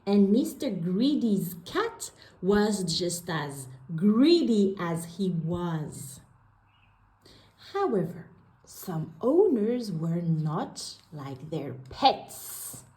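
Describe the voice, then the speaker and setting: A woman reading a children's picture book aloud in an expressive, deliberate storytelling manner. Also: mid-range voice, roomy sound. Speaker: storybook reader.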